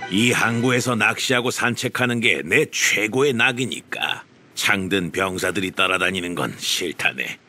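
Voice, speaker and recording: Humble old gentleman, low bright voice, animated, folksy old-fashioned phrasing, video game character, music behind. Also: heavily acted. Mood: jovial, humble. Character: jovial, humble old male official posing as nagging uncle. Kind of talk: Korean video game voice acting.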